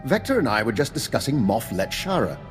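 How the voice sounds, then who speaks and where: An actor playing an urbane, calculating old doctor and veteran spy in a video game, with a refined, courteous, understated manner. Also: male voice, medium pitch, sing-song, a little fast, big-room sound, faint music behind, lightly acted. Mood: polite, genial. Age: older. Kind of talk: video game dialogue acting.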